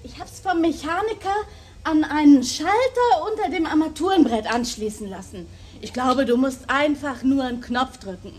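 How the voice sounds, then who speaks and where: An actress playing a young club stripper, placating and coaxing, in a radio crime drama. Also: female voice, high voice, normal speed, a little hiss or hum, lightly acted. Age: young adult.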